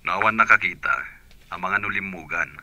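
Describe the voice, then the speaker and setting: A man playing a calm, practical husband in a radio drama, giving steady advice on the search. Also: deep voice, normal speed, no room sound, dull audio. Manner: steady, advising.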